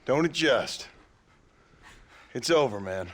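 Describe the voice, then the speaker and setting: A weary former outlaw talks in terse, stern phrases. His medium-pitched voice moves up and down a lot, in a dramatic game style with faint noise behind it. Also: expressive, slow pace, a bit bright. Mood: tense, stern. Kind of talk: dramatic video game acting.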